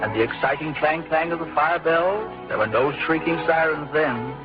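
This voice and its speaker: A man with an American accent and slightly high voice narrates nostalgically, like a scripted radio storyteller. Music plays clearly behind him on a dull old recording. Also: lively intonation, moderate pace. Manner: wistful storytelling.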